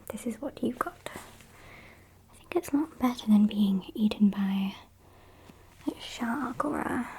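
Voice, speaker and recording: A sassy, morbid gothic vampire played by a woman with a British accent. She speaks in a breathy, mellow whisper for an ASMR roleplay. Her delivery is soft, unhurried and deadpan, chatting casually to her captive. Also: on the high side, heavily acted. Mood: dryly morbid.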